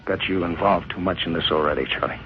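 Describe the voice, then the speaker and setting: An actor playing a desperate married man on the run in an old-time radio crime drama, urgent and halting, with a gravelly voice. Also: low-pitched. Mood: anguished, resigned. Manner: urgent, pressing, halting when cornered. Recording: muffled, hissy old radio recording.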